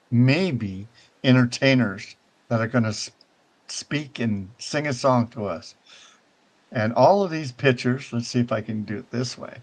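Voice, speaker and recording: An older man hosting a relaxed talk show, wandering from point to point in an easygoing, rambling way. His pitch sits in an ordinary middle range, and he keeps checking in with his listeners. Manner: rambling, conversational, often checks in with "right?". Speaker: show host. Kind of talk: casual virtual-world tour and chat.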